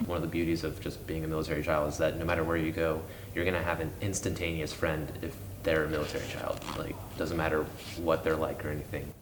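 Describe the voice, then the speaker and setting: Teen boy, low voice, rapid earnest answers, noisy recording. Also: little pitch movement. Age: late teens. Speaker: high school senior. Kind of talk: casual interview answers. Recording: noisy background.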